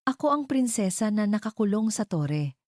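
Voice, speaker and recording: A woman's voice: mid-range voice, big pitch swings, moderate pace, darkish tone, no echo, studio quality, pitched above the performer's own voice, close to an everyday voice. A woman voicing a playful, sweet young housemaid who is easily flustered, in an audio drama story.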